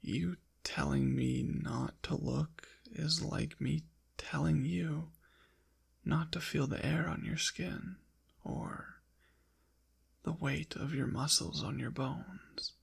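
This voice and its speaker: A man voicing a haughty, possessive ancient demon in an intimate ASMR roleplay, with formal, deliberate diction and a breathy, gravelly whisper. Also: fairly high pitch, heavily acted. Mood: teasing, possessive. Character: possessive ancient male demon, haughty, teasing, quietly softening. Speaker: audio roleplay performer.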